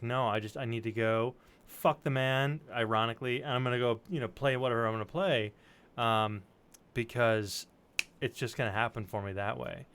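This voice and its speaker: A man's voice: medium pitch, a bit bright, clean tone. A man in casual chat, telling anecdotes and acting out what people said, with easy informal swearing.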